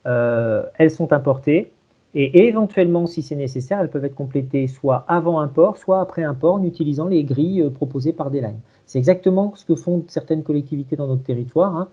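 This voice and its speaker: A man giving an informal technical demonstration over a video call, in a halting way with repeated words. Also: a little high, mellow. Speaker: presenter of a software tool. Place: remote video conference. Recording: dull, lo-fi online call.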